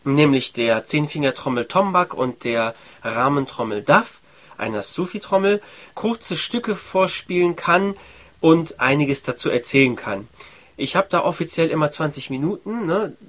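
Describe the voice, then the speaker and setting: A man's voice: fairly high pitch, lively intonation, a little slow, fairly bright tone. A man from Iran, a musician, speaking in a conversational storytelling way in an interview. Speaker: musician, percussionist.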